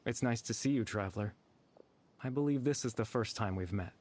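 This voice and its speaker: A man playing a gentle, courteous, melancholy figure in a video game, speaking politely and a little hesitantly. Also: medium pitch, fairly quick, natural voice.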